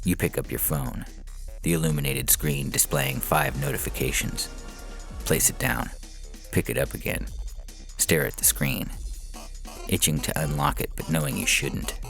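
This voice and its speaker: A man's voice: extremely low pitch, normal speed, gravelly, long pauses, a bit bright. A man narrating a scripted short story as a podcast reader, in the second person. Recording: music bed under the voice. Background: music.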